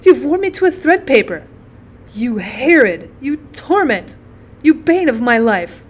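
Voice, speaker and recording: A woman's voice: sits high, big pitch swings, measured pace, heavily acted. A woman voicing an overwrought, self-pitying mother who scolds and laments in a storybook reading. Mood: bitter, lamenting. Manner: lamenting, melodramatic.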